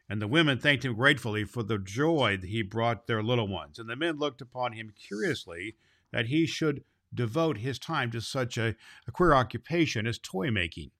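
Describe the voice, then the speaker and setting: A man with a medium-pitched voice reads a children's story aloud. His delivery is homely and a little unpolished, like an amateur storyteller. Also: a bit bright. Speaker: storybook reader.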